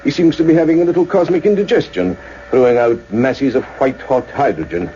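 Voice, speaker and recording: A man's voice: mid-range voice, fairly quick, mellow, close to an everyday voice. A man acting as a genial senior astronomer in a radio play, explaining expansively and lyrically. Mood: keen, wry. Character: genial senior radio astronomer showing visitors round, eager and uneasy. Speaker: research scientist. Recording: noisy, dull radio drama recording.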